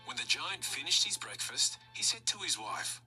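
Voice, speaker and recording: A woman storyteller reading a children's story aloud with expressive narration. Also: low-pitched, normal speed, faint music behind, low-quality recording.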